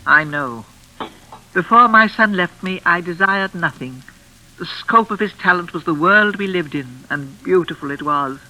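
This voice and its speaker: An actress playing an elderly, genteel yet ominous grieving widow in a theatrical, formal 1940s radio drama style. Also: female voice, lowish voice, expressive, moderate pace, sharp tone. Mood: wistful, grieving. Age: older. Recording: vintage radio recording with frying crackle.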